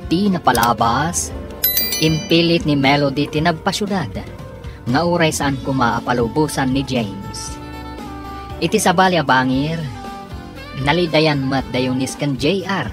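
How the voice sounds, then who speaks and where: A woman's voice: low-pitched, unhurried, pauses often. A woman with a Filipino accent giving dramatic scripted narration as the announcer of a radio drama. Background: music bed.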